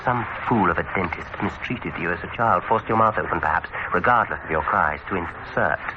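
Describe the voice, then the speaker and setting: An actor playing a suave, controlling dentist in a radio horror drama, speaking in a deliberate, coaxing, insinuating way. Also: male voice, low-pitched. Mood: menacing, hypnotic. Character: suave, sinister male dentist, smoothly persuasive and controlling. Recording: noisy, muffled old radio broadcast recording.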